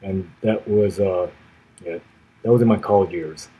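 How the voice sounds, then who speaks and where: A man in his thirties, speaking in a low, mellow and slightly husky voice. He answers at an easy pace, filling gaps with "uh" and dropping to a quiet finish at the end of his phrases. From grew up in the United States. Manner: measured, many "uh" pauses, trailing off softly at phrase ends. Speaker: podcast guest, former navy officer. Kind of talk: conversational interview answers.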